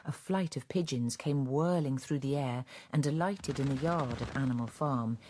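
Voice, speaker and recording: A man as a measured storyteller, narrating an animal fable in a scripted radio drama. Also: mid-range voice, darkish tone, a little hiss or hum, lightly acted.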